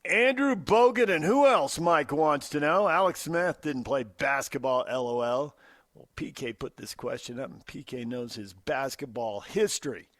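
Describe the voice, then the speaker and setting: A man's voice: fairly high pitch, expressive, normal speed, fairly bright tone, no room sound. A man with an American accent hosting sports talk radio, giving opinions conversationally into a studio microphone. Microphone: close studio radio microphone.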